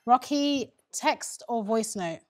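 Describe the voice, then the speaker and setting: A young woman hosting a game show in a bright studio, upbeat, with bursts of excited cheering. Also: fairly high pitch, slow pace, soft background music.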